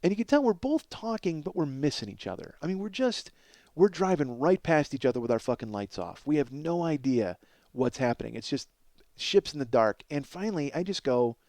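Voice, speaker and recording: A middle-aged man hosting a comedy podcast with a rambling, excitable monologue, self-mocking and profane, often stammering over repeated words. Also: a little high, fairly quick, dry recording.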